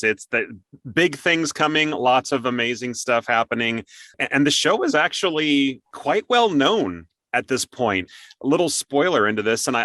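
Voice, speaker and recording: A man's voice: on the low side, bright, forceful tone, dry recording. A man hosting a casual interview in an enthusiastic, encouraging way. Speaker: interview host.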